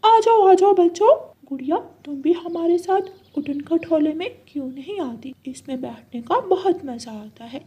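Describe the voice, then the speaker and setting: A woman's voice: very high-pitched, expressive, moderate pace, hardly any pauses, mellow, muffled recording, pitched above the performer's own voice. A woman voicing a friendly parrot driver in a cartoon, calling out and coaxing children. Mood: jolly, inviting.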